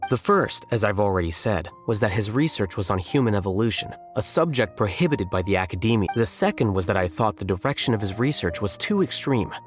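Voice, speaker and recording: A man playing an aloof, coolly logical young scholar in a video game, speaking in a measured, deadpan manner with dry sarcasm. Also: on the low side. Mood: detached, matter-of-fact. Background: music.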